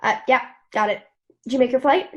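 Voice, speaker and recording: A woman's voice: sits high, brisk, lo-fi sound. A woman acting a breezy, sassy young woman in a table read of a television drama, with casual teasing delivery. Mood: mildly exasperated. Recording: video call table read.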